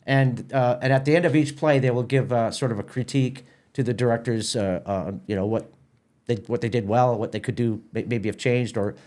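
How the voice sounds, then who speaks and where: A man's voice: medium pitch, steady tone, brisk, darkish tone. A middle-aged man with a Canadian accent, giving a casual interview as a theatre company president, often repeating words as he explains.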